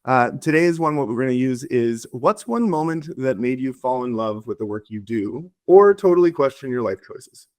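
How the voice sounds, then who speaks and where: A man's voice: mid-range voice, lively intonation, moderate pace, few pauses. A man hosting a podcast, asking questions in an upbeat, loose way with fillers. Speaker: podcast host. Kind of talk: casual interview hosting.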